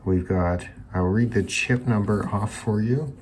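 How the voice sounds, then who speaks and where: A man hosting an electronics teardown video, talking casually and unscripted, haltingly thinking aloud as he examines parts. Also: lowish voice, darkish tone, a little hiss or hum. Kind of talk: casual unscripted hands-on demonstration talk.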